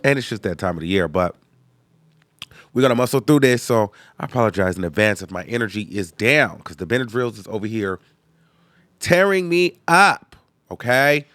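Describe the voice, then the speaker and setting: A young man talks casually to his viewers in a chatty, animated way, often tagging his points with "okay". His voice is nasal and congested from sinus trouble. The sound is dry and close, with no room around it. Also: lowish voice, normal speed, dry recording. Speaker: host. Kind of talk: casual reaction video chat.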